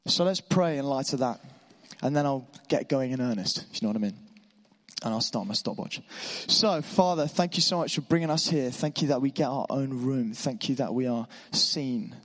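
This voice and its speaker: A man preaching a sermon in church, encouraging and informal, his voice a little hoarse from being unwell. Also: mid-range voice, moderate pace. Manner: warm, encouraging, informal with asides. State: slightly hoarse voice. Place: church hall. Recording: long hall reverb.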